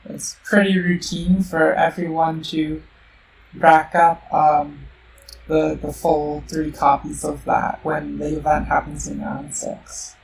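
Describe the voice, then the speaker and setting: Slow, gravelly woman streamer with a sore throat. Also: low voice, a little mellow. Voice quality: slightly robotic-sounding voice. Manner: thinks aloud with many fillers and restarts. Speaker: game streamer. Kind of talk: casual live-stream game commentary. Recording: roomy, distant mic with faint noise.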